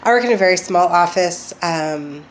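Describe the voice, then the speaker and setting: A woman's voice: fairly low pitch, animated, moderate pace, poor audio. A woman vlogging at home, chatty and hesitant with lots of ums, getting over a cold. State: recovering from a cold. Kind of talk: casual personal video diary.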